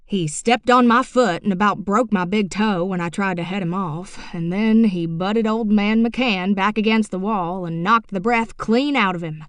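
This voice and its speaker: A woman's voice: mid-range voice, moderate pace, few pauses, fairly bright tone, no echo, natural voice. A woman narrating an audiobook, voicing a middle-aged rural man telling a tall tale in a drawling, folksy way. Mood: yarn-spinning. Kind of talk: audiobook character reading.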